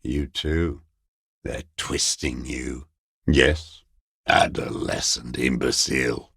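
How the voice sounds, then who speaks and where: Casting: a bitter old sniper in hiding, video game; creaky, gravelly, scornful, very dry close sound. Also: male voice, a bass voice, steady tone, fairly bright tone, no room sound, full character voice. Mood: venomous. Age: older. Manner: slow, contemptuous, bitter invective. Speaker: video game character actor. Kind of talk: dramatic video game voice acting.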